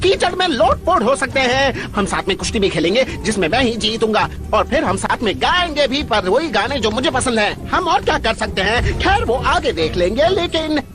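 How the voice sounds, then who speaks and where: A man voicing an eager, bossy dinosaur child in a dubbed cartoon, gushing with excitement. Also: extremely high pitch, normal speed, hardly any pauses. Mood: excited, eager. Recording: loud music behind the voice.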